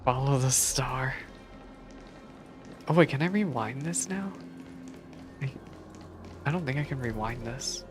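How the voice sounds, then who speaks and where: A woman's voice: a bass voice, unhurried, long pauses. A woman giving casual, informal live stream commentary on a game, thinking aloud as she goes. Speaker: game streamer reacting to story. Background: game music.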